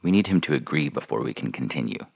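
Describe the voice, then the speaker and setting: A man playing a slick, callous adoption agency salesman with gravelly smooth patter in an audio story. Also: very deep voice, sing-song, fast talker, exaggerated voice. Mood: breezy, reassuring. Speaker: voice actor reading a fiction podcast story. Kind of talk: dramatized short story reading. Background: music under the voice.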